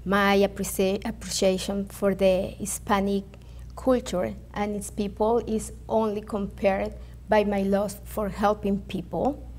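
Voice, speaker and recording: A woman from Venezuela with a Venezuelan Spanish accent, answering interview questions carefully and haltingly. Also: mid-range voice, flat delivery, a little mellow. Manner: careful, halting, with fillers and restarts. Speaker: real estate agent guest.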